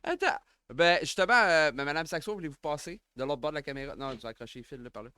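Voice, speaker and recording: A man with a Quebec French accent hosting a casual livestream from home, excitable and quick to exclaim and joke. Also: on the high side, clear, resonant tone, no room sound. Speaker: livestream host.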